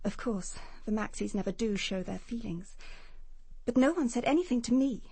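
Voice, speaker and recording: A woman's voice: a little high, moderate pace, creaky, dark tone, breathy edge. A woman playing a wounded, anxious young woman in a radio drama, confessing in a hesitant, hurt manner. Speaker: actress in a radio detective drama.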